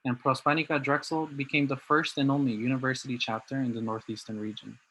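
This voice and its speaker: A young man from New Jersey giving a prepared talk in an earnest, steady way. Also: mid-range voice, quick pace, poor audio. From New Jersey, USA. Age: young adult. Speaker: student speaker.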